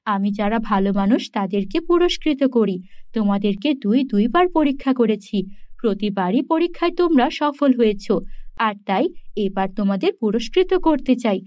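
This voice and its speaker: A woman's voice: on the high side, lively intonation, moderate pace, darkish tone, dry recording, studio quality, voice pushed down, close to an everyday voice. A woman voicing a gracious, approving female fairy in a cartoon fairy tale. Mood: gracious, impressed. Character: gracious, approving female fairy who rewards good people.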